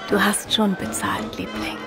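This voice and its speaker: Eine Schauspielerin spielt in einem Hörspiel die schlagfertige, hartnäckige Ehefrau eines Detektivs, wissend und sanft spöttisch. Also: female voice, measured pace, over loud music, over a noisy crowd, lightly acted. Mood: teasing, insistent. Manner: knowing, gently mocking. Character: witty, persistent wife of a gentleman detective. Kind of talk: German radio play acting.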